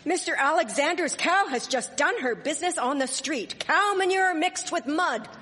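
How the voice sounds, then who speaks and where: Radio play: actress as sharp-tongued 1870s wife, formal, sarcastic, higher-pitched, performed live in a reverberant theatre. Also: female voice, animated, normal speed. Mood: exasperated. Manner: scolding, sarcastic, formal diction. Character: sharp-tongued, indignant Victorian village wife. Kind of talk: live radio drama acting. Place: performing arts theatre stage. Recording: echoey hall, live stage performance.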